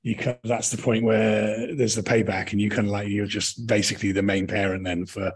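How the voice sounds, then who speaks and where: Middle-aged British male interviewee, low husky voice, discursive, reminiscing delivery full of rephrasing. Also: level tone, brisk. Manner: rambling, hedging, often rephrasing mid-sentence. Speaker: interview guest, touring actor and director. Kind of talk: conversational interview answers, reminiscing.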